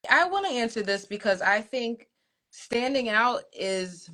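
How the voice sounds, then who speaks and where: A young American woman, a soca artist guest, chatting casually with many ums and quick laughs. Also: on the high side, big pitch swings, bright, forceful tone. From United States. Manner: chatty, laughs easily, thinks aloud with ums. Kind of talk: casual interview chat.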